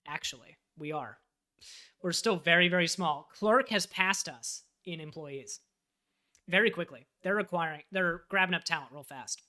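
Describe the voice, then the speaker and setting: Bright-voiced man chatting on a livestream. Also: fairly high pitch, normal speed. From United States. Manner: chatty, thinking aloud while filling out a form. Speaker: live streamer and web developer. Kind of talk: casual livestream chat.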